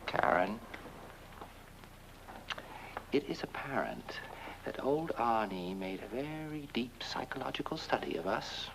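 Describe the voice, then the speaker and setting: Irritable scheming lover, sardonic, theatrical, animated mid-range voice, horror-comedy film, clearly noisy recording. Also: male voice, mid-range voice, moderate pace. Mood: calculating. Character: scornful, scheming, greedy, irritable young lover. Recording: noisy old film soundtrack.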